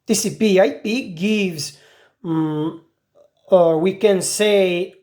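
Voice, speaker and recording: Slow, halting woman. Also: medium pitch, darkish tone. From Croatia. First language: Italian. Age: 40s. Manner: halting, searching for words, many restarts. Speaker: IT specialist. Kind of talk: casual monologue to camera.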